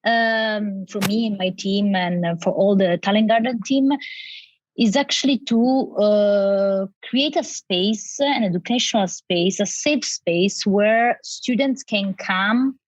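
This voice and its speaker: A woman's voice: mid-range voice, slow, no room sound, highs cut off. A woman from Italy with an Italian accent, a native Italian speaker explaining her work conversationally as a panel guest. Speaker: panel guest, education company representative.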